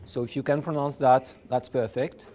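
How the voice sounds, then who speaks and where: A man's voice: mid-range voice, little pitch movement, warm tone. Hesitant man with a French accent, lecturing. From France, originally from Morocco. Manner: hesitant, halting, repeats words. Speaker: university lecturer. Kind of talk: informal physics lecture. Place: lecture room with blackboard.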